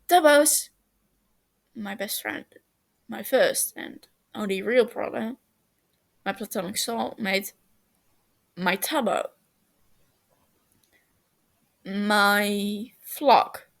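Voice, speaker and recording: A woman reading a story as a brash, boastful and excitable teenage boy, loud, dramatic and quick to get defensive. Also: mid-range voice, creaky.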